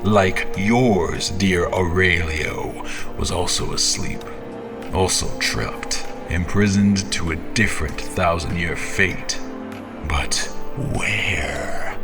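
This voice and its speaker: Deep, brooding half-mad zealot. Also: male voice, music in the background. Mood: menacing, defiant. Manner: grandiose, brooding, deliberate, with whispery breaths. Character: obsessed, menacing male zealot, half-mad self-anointed champion. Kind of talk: theatrical dramatic monologue.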